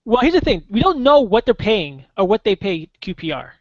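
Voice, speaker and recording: Casual male guest voice, pitched high, dry close sound, lots of ums. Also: sing-song, a little mellow, no room sound. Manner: halting, many fillers and restarts. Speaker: guest soccer contributor. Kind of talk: casual podcast chat. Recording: dull lo-fi podcast audio, dry.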